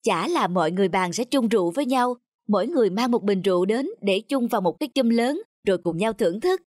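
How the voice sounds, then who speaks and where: An actor playing a mild, simple village husband in a folk-tale cartoon. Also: male voice, high-pitched, expressive, fast, darkish tone, dry recording, clean studio sound. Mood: mild, hesitant. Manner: mild, explaining. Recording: music behind the voice.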